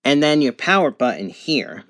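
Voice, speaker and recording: A man giving an unscripted product demonstration in a halting, matter-of-fact way. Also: medium pitch, very animated, fairly bright tone. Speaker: product reviewer.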